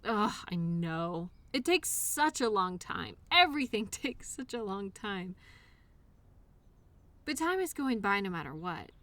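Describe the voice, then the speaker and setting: A woman playing a loyal childhood imaginary friend in an audio roleplay, speaking in an earnest, reassuring, gently encouraging way. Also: mid-range voice, sing-song, measured pace. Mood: sympathetic, encouraging. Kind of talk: one-sided audio roleplay, intimate scripted conversation.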